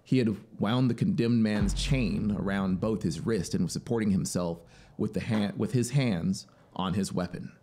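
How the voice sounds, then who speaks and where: A man in his thirties narrating a literary story in an expressive, deliberate, dramatic way, close to a studio microphone. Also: fairly low pitch. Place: small home music studio. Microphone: close studio microphone on boom arm. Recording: ambient soundscape noise behind voice. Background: synthesizer soundscape.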